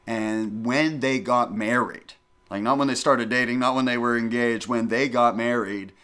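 A middle-aged man from the northern United States talks casually as a panelist. His mid-pitched voice is animated and emphatic. He tells stories conversationally, often repeating phrases to drive them home. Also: normal speed.

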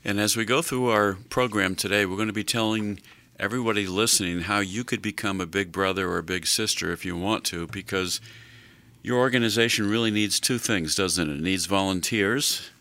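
An older man hosting a radio talk show, chatting slowly in a folksy way with many fillers. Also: fairly low pitch, pushed and bright.

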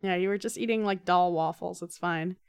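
A woman's voice: lowish voice, a little fast, gravelly, clear, resonant tone, no echo, clean studio sound. A woman co-hosting a podcast in casual, informal, conversational talk. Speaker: podcast co-host.

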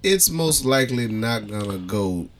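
A man's voice: medium pitch, lively intonation, measured pace. A man chatting casually as a podcast co-host, blunt and full of profanity. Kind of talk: casual group banter.

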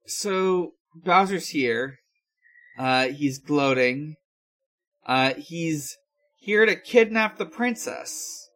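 A man hosting a podcast, giving a casual recap in a halting, unhurried way full of hesitations. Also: fairly high pitch, fairly bright tone. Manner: halting, filler-heavy, unhurried. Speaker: podcast host. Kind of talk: casual episode recap with frequent pauses.

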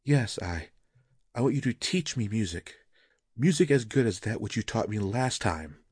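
A man's voice: fairly low pitch, lively intonation, normal speed, darkish tone, husky, no room sound, studio quality. A man playing an earnest, troubled nobleman who yearns to make music, speaking hesitantly in an audio story.

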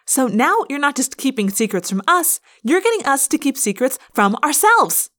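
A woman's voice: sits high, big pitch swings, moderate pace, fairly bright tone, pitched above the performer's own voice. A woman voicing a fierce, confrontational teenage girl in an audiobook, blunt and snapping. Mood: angry. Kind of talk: audiobook character voice.

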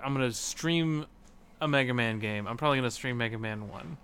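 A man's voice: mid-range voice, brisk, a bit bright, clean tone. A man co-hosting a podcast in casual chat about video games. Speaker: podcast co-host.

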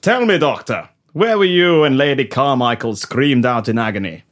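A man playing a grave, dramatic police constable who narrates an old-time radio murder mystery in a deliberate way. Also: medium pitch, lively intonation, fairly bright tone, close to an everyday voice. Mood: grave, suspicious.

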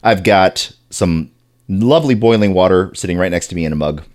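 A podcast host with a stuffy chest cold, chatting casually, rambling and restarting his sentences and clearing his throat often. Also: deep voice, brisk, darkish tone.

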